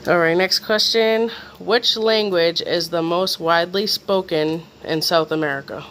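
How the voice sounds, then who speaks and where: A man's voice: high-pitched, unhurried, fairly bright tone, poor audio. A man hosting a casual family quiz, reading out the questions. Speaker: quiz host.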